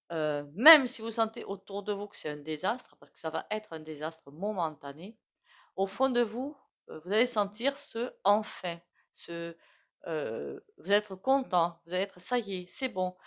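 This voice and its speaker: A woman giving a casual, rambling tarot reading, full of fillers and frequent throat clearing. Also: mid-range voice. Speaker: tarot reader.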